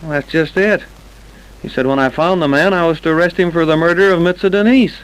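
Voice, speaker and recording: An actor playing a bumbling, folksy police detective who stammers and hesitates, in old-time radio drama style. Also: male voice, on the high side, a little fast, clean tone, natural voice, rough recording. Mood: baffled, hesitant. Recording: vintage radio broadcast recording.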